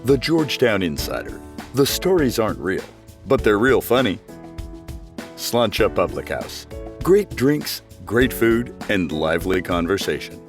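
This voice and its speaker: A man's voice: fairly low pitch, big pitch swings, measured pace, faint music behind. A man reading scripted sponsor messages as an announcer. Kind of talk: scripted ad narration.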